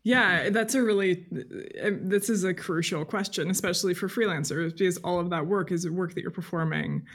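A woman's voice: on the low side, a little fast, breathy edge. A woman being interviewed as a podcast guest, talking reflectively and informally, thinking aloud with lots of "like" and "you know.". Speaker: podcast guest, freelance journalist.

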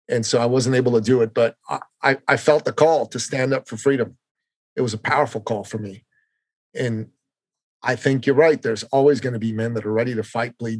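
A man's voice: medium pitch, no echo. A man from Canada hosting a political podcast, speaking with passion and repeating phrases for emphasis. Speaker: podcast host. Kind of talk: impassioned political podcast talk.

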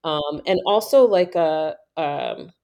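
A woman from New York hosting a casual conversation, reflective and thoughtful, with many fillers and lively storytelling. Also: deep voice, slow pace.

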